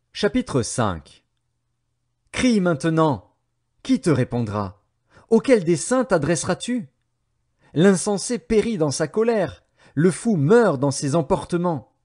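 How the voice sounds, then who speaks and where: A man reading scripture as an audiobook narrator, in a measured, declamatory and solemn style with dramatic phrasing. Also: a little high, warm tone.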